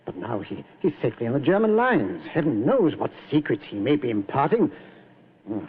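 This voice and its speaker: An actor playing an anguished, honor-bound army general, husky, halting and formal, in radio drama style. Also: male voice, medium pitch, sing-song. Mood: resigned, grave. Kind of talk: old-time radio drama acting. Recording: muffled old radio recording.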